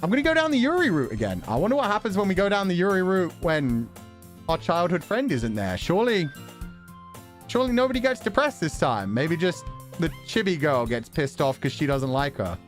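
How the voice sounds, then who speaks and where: A man's voice: sits high, sing-song, a little fast, faint music behind. A man livestreaming and chatting casually with viewers in a wry, sarcastic way, swearing now and then.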